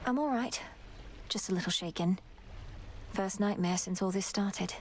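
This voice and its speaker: Game heroine, young goddess witch played by a woman: mid-pitched, formal, determined, with faint background noise. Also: moderate pace, a little mellow, lightly acted. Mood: weary. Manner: serious, precise, formal.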